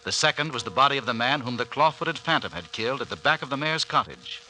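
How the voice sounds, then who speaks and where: A man's voice: medium pitch, animated, fast, sharp tone, slight background noise, low-quality recording. A man narrating in a formal, dramatic old-time radio announcer style. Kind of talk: 1930s-40s radio announcing, scripted narration.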